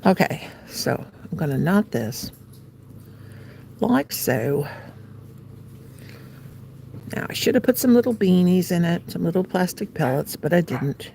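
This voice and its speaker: A woman's voice: fairly low pitch, lively intonation, unhurried, creaky, pauses often, mellow, a little hiss or hum. An older American woman hosting a casual crochet livestream, playful and silly, laughing and talking to herself as she works. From United States. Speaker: livestream host.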